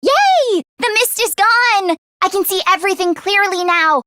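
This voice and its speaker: A woman voicing an earnest, anxious teenage girl mechanic in an anime-style video game, expressive and shifting between timid and sassy. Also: very high-pitched, unhurried, no room sound, clean studio sound, heavily acted. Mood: bright, relieved. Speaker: voice actress.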